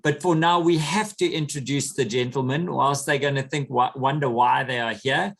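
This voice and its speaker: A man hosting a podcast who interviews his guests in an effusive, enthusiastic way with quick asides. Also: medium pitch, gravelly, fairly bright tone. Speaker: podcast host.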